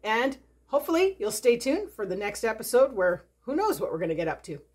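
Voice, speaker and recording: Woman, home cook and channel host; upbeat, chatty, thinks aloud, voice sits a bit high. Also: big pitch swings, fairly quick, a little mellow, husky. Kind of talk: casual how-to cooking video. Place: home kitchen.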